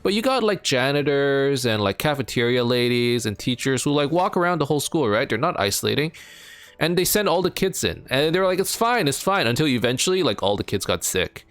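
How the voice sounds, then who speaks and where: A young man from Canada, streaming a game from home and chatting casually with his viewers in a rambling, filler-heavy way, his pitch jumping up when he gets animated. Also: a little fast, no room sound. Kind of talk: casual chat with live chat while gaming.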